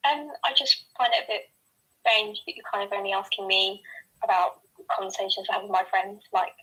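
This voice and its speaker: Hesitant woman with a bright, husky voice. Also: medium pitch, a little fast, low-quality recording. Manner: hesitant, full of fillers. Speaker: voice chat participant. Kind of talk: casual online voice chat.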